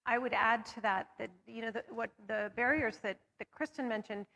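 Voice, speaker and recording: A woman's voice: on the high side, fairly even intonation, normal speed, vocal fry, pushed and bright, in a large hall, poor audio. A woman on a discussion panel, talking steadily and carefully, correcting herself as she goes through figures. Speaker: panelist on local voting data.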